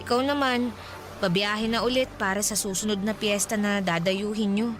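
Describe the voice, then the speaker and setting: A woman's voice: mid-range voice, fairly even intonation, normal speed, music in the background, natural voice. A woman playing an earnest, practical young schoolgirl in a romantic radio drama, caught in her first puppy love. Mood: gently resigned.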